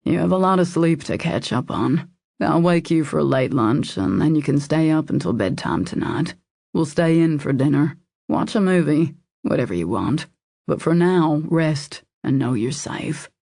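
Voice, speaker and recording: A woman voicing a devoted, protective man in an audiobook romance, in a low husky murmur, earnest, intimate and reassuring. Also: animated, normal speed, dark tone, dry recording, clean studio sound, heavily acted. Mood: soothing.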